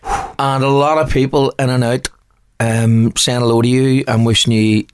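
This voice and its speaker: A man's voice: medium pitch, little pitch movement, moderate pace, smooth, dry recording, clean studio sound. Cheerful man in his sixties. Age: 60s. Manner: cheerful, enthusiastic, laughs easily. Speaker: guest, the host's father. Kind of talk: casual chat.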